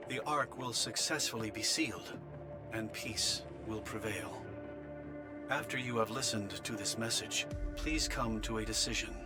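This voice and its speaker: Low-voiced solemn male official played by an actor. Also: close to an everyday voice. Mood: solemn, courteous. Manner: measured, formal, diplomatic. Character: solemn male official delivering grave military orders. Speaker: official voice of the Ark leaving a recorded message. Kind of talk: formal scripted military briefing. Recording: music behind the voice.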